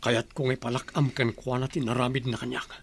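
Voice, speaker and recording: A man's voice: mid-range voice, flat delivery, brisk, slight background noise, lightly acted. A man with an Ilocano accent playing a hot-headed, determined husband in a radio drama. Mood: resolute.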